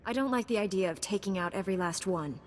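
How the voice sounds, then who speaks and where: An actress playing a resolute, principled knight commander in a video game, speaking firmly and with composure. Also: female voice, medium pitch, fast, big-room sound, close to an everyday voice. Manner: firm, composed.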